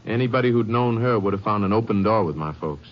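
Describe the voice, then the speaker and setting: An actor as an earnest, expressive rancher's son. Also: male voice, fairly low pitch, fast talker, close to an everyday voice. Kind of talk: 1950s radio drama acting. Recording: dull old broadcast.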